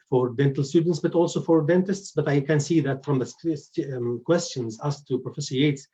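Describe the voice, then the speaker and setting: A man's voice: medium pitch, a little mellow. A man, giving a lecture as an oral surgeon with many fillers and restarts. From Egypt. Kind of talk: webinar lecture. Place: indoors at home. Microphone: computer mic on a video call. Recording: dull lo-fi video call audio.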